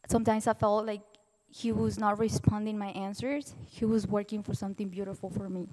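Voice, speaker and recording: A young woman from Mexico, a Spanish native speaker, giving an earnest, heartfelt personal testimony as a student speaker, halting now and then to restart. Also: mid-range voice, darkish tone. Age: young adult. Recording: distant voice in a reverberant hall.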